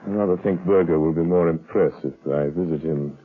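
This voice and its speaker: An actor playing a calm, wealthy gentleman detective in a vintage radio drama, speaking in a measured, assured way. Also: deep voice, a little hiss or hum, natural voice. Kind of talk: 1940s radio acting. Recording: tinny old radio broadcast.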